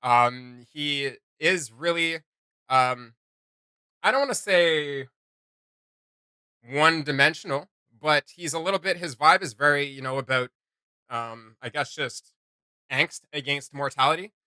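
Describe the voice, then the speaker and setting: A man's voice: fairly high pitch, measured pace, long pauses, pushed and bright, clear, resonant tone, dry recording. A man hosting a reaction video, enthusiastic and slangy, thinking aloud in casual commentary.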